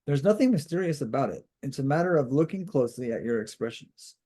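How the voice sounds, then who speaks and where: A man reading the part of a calm, insistent woman guide from a novel, in an instructive, assured way. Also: mid-range voice, quick pace, lightly acted. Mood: calmly instructive.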